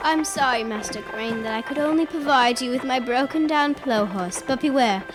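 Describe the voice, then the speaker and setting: A woman's voice: high voice, normal speed, music in the background, close to an everyday voice. A female actor playing a cautioning village midwife and widow in a school radio play.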